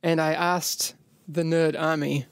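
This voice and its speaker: A man's voice: fairly high pitch, level tone, slow pace, smooth, no room sound. A man with a New Zealand accent hosting a casual podcast chat, joking and laughing often. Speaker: podcast host.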